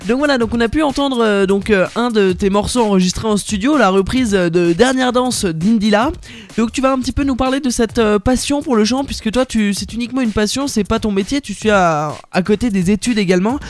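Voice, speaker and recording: A man's voice: sits high, fairly quick, no echo, faint music behind. A young man hosting a radio interview, talking casually with many fillers and restarts. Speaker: radio host.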